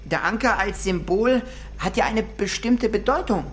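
A woman's voice: medium pitch, moderate pace, faint music behind, lightly acted. A woman voicing an earnest, naive, dreamy male would-be seaman in a children's radio play, speaking solemnly and pedantically.

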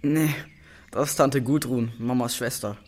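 A man's voice: medium pitch, fairly even intonation, unhurried, lightly acted. Man playing a blunt teenage big brother. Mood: teasing. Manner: casual, blunt. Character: teenage big brother, casual, teasing but caring. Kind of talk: naturalistic children's radio drama acting.

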